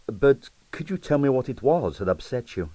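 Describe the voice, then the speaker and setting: A man's voice: mid-range voice, very animated, normal speed, dark tone, no echo. Tactful yet blunt male detective for a mystery audiobook, voiced by a male narrator, probing, dry sound. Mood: polite, probing. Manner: polite, probing.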